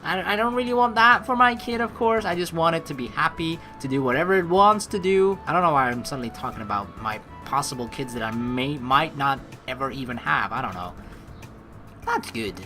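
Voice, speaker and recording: A woman's voice: low voice, expressive, brisk, sharp tone, faint music behind. A young adult woman giving casual let's play commentary in a rambling, conversational way. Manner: rambling, conversational, peppered with "you know". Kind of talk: casual game commentary mixed with reading dialogue aloud.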